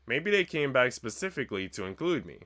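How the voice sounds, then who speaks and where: A man's voice: mid-range voice, sing-song, a little fast, fairly bright tone, no echo. A man reading a visual novel aloud as a streamer, narrating the script and dropping in slangy, joking asides.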